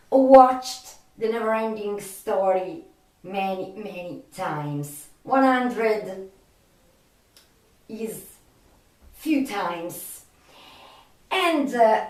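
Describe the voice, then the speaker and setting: A woman with a non-native English accent talking casually to viewers as a film fan, in a halting way with drawn-out pauses. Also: a little high. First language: not English. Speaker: video host, film fan. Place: home studio. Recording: echoey room, distant microphone.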